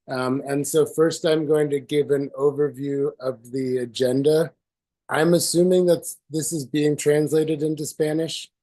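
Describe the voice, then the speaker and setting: A man with a hoarse voice from a cold, giving a presentation on a video call with many fillers and restarts. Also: medium pitch, mellow. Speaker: presenter at community meeting.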